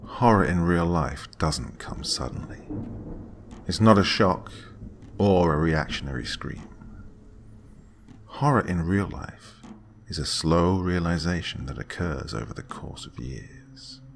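Deep gravelly man narrating horror. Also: animated, a little hiss or hum. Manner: slow, dramatic delivery with hushed whispered lines.

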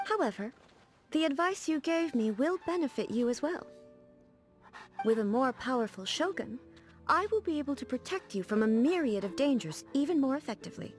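Game role: dignified warrior sovereign, higher female voice, formal and composed, music underneath. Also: lively intonation, normal speed. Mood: earnest, thoughtful. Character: serene, dignified female ruler, a warrior devoted to eternity. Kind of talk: scripted video game character dialogue.

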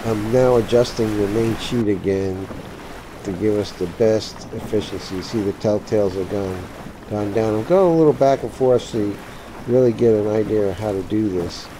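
A man presenting an unscripted how-to commentary, halting as he thinks aloud. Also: on the low side. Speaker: tutorial presenter. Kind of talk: unscripted how-to commentary over a sailing game. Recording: steady sea and water noise behind. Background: sailing game sounds of boat and water.

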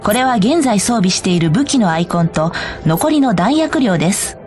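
A woman's voice: medium pitch, animated, moderate pace, a little mellow, soft background music, natural voice. A woman voicing a polite, cheerful corporate guide, reading scripted tutorial narration for a game. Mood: polite, bright. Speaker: tutorial announcer.

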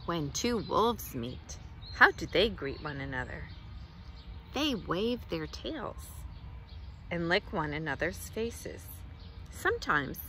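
A middle-aged woman reads a picture book aloud to children outdoors, with expressive, deliberate phrasing. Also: on the low side, a little hiss or hum. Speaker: children's librarian, storytime reader. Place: outdoors in a leafy garden.

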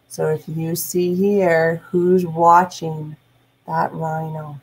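A woman, a teacher reading aloud and talking deliberately to young listeners. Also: fairly low pitch, low-quality recording. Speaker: teacher and read-aloud host. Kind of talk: read-aloud and instructional talk to children. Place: small indoor room at home.

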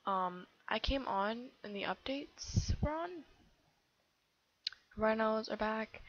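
Teen girl gamer, low husky voice, rambling commentary, muffled computer mic. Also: darkish tone. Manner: rambling, giggly, self-chattering with many fillers. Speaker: game video creator. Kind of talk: casual gameplay commentary.

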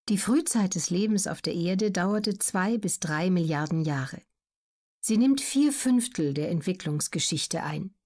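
Woman, mid-range pitch, scripted documentary narration, measured and carefully articulated. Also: darkish tone. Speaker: audiobook narrator.

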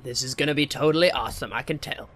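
A man's voice: medium pitch, fast talker, a bit bright. A man hosting a gaming video with casual Let's Play commentary, talking to himself in jokey, slangy asides. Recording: game music behind the voice. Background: video game music.

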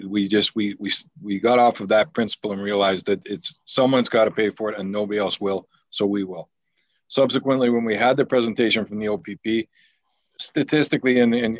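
A man's voice: fairly low pitch, dry recording. Deputy mayor type, Canadian man, earnest and rambling, dry dull video-call sound. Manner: rambling, repeats words, earnest. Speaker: deputy mayor at a council meeting. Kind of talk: council meeting remarks. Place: home office on a video call.

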